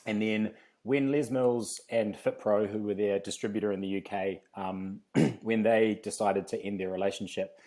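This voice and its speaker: A man's voice: on the low side, fairly even intonation, moderate pace, hardly any pauses, mellow. A man with a New Zealand accent, telling his story casually and chattily as an interview guest. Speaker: podcast guest, fitness company founder.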